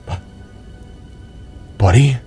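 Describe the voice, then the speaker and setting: A man playing a gentle, shaken older robotic alien brother in an audio drama, halting and soothing. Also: soft background music. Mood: stunned.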